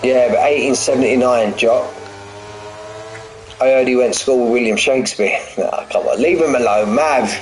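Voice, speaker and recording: A man's voice: mid-range voice, moderate pace, slight background noise, poor audio. A man in his forties calling a sim race live, chatty and jokey, repeating phrases and bantering with his viewers between the action. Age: 40s. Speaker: sim racing commentator and streamer. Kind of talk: live race commentary mixed with banter with chat.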